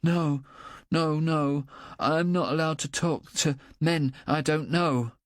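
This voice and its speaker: A man's voice: medium pitch, no room sound, clean studio sound, pitched above the performer's own voice, close to an everyday voice. A man doing an anxious, childlike young boy. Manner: halting, earnest, childlike. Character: anxious simple-minded young boy, earnest. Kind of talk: audiobook character voice.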